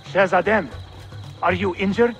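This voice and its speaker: A man's voice: high voice, measured pace, fairly bright tone, faint music behind. An actor playing an urgent, dutiful Ottoman palace guard in a video game. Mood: anxious. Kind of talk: video game cutscene acting.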